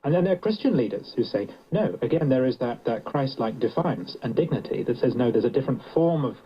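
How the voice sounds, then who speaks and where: A man's voice: mid-range voice, lively intonation, fairly quick, husky. Male radio discussion speaker, earnest and articulate, muffled narrow broadcast sound.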